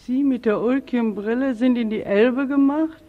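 An actor playing a callous, flippant housewife in a 1940s radio drama, offhand and mock-sympathetic. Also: male voice, very high-pitched, moderate pace. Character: callous, flippant petty-bourgeois Frau Kramer. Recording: muffled, noisy 1940s radio recording.

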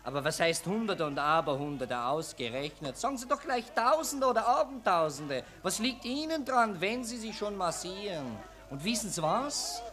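A man's voice: sits high, sing-song, normal speed, hardly any pauses, fairly bright tone. A man playing a pompous, self-important, cynical Viennese newspaper reporter in a 1940s German radio play, declaiming emphatically. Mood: bustling, insistent.

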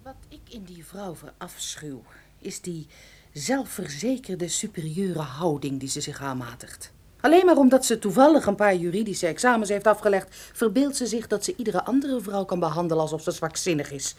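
A woman playing a sharp-tongued, sceptical partner of a detective in a Dutch radio play, emphatic and full of questions. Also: fairly low pitch, very animated, moderate pace, husky. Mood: disdainful, curious.

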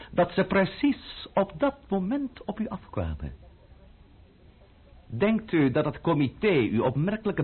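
A man's voice: on the high side, husky, heavily acted. An actor playing a sarcastic, menacing French revolutionary official in a radio drama, sneering and drawing out his mock-polite words. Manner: sneering, mock-polite, drawn-out, bursting into shouts. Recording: muffled old radio play recording.

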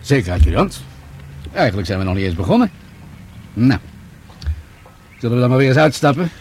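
An actor playing a genial, unhurried police commissioner in a Dutch radio drama, musing and gently teasing as he talks. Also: medium pitch, very animated, a little hiss or hum. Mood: brisk, amused.

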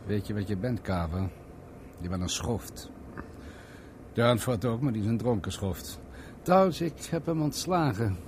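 A man's voice: fairly low pitch, expressive, measured pace, noisy background. An actor playing a ruthless, rich businessman in a 1970s radio drama, domineering and taunting, with a mockingly genial way of giving orders. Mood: gloating contempt. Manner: taunting, coolly menacing, commanding.

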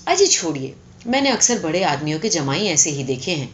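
A woman voicing an imperious, calculating young rich heiress in a story, firm and sharp as she dictates terms. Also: low voice, very animated, a little hiss or hum. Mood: dismissive, cynical.

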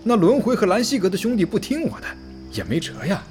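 Peacemaking guild boss, highish voice, animated, reasoning tone, audio drama, music behind. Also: male voice, quick pace. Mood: placating. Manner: placating, reasoning. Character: diplomatic guild leader acting as peacemaker. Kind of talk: Chinese audio drama acting.

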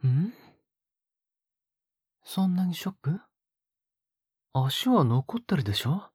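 A woman playing a sweetly possessive, sadistic young male captor in an audio drama, murmuring intimately to the listener in a husky, gently menacing voice that slips into whispers. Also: low voice, sing-song, takes it slowly, dry recording, exaggerated voice. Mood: coolly pressing. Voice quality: breathy, husky.

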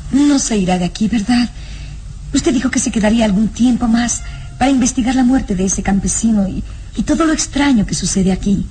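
A woman's voice: fairly high pitch, fairly quick, mellow, slight background noise, natural voice. An actress playing a tender, anxious young woman in a Spanish-language radio drama, pleading and hesitant, with sighs.

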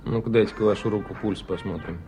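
An actor in a radio drama playing a conscientious, brisk male doctor who muses and insists. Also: on the low side, fairly even intonation, dark tone, natural voice. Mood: concerned, insistent. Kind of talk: Soviet radio drama acting. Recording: 1980s radio play with music. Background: music under the voice.

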